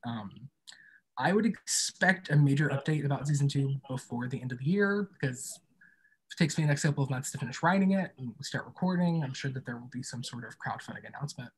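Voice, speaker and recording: Guy being interviewed, bit raspy mid-range voice, informal and thoughtful, just chatting. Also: mid-range voice, expressive, fast, rough recording. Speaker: podcast creator and guest being interviewed. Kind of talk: casual interview chat.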